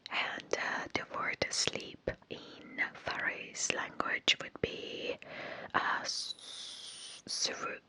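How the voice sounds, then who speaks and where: An ASMR creator whispering slowly and softly, repeating words and hesitating over pronunciations. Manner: unsure of foreign pronunciations.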